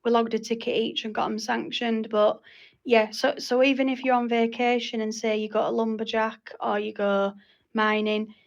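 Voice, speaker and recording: Female meeting leader, higher-pitched, creaky, explains hesitantly in an informal way. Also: little pitch movement, normal speed, muffled recording. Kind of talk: informal group meeting talk.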